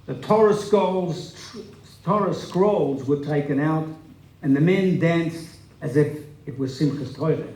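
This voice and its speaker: A man's voice: on the high side, unhurried. A man giving a scripted dramatic reading of a memoir on stage in an echoey hall. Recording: echoey live hall recording.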